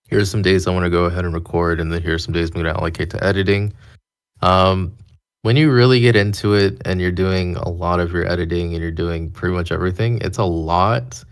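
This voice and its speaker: A man with a deep voice and plenty of vocal fry talks on a panel. He explains casually and thoughtfully, in a measured way. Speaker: voice actor and podcast panelist.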